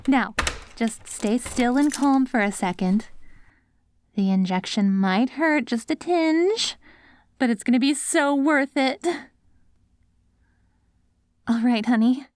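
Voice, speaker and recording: Casting: woman to play hopeful, devoted wasteland wife for ASMR drama. Higher-pitched, gently coaxing, very dry sound. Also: takes it slowly, pauses often, no echo. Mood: hopeful, excited. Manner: tender, coaxing, speaks directly to a loved one. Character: devoted, hopeful wife caring for her zombie husband after apocalypse. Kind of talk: ASMR audio roleplay.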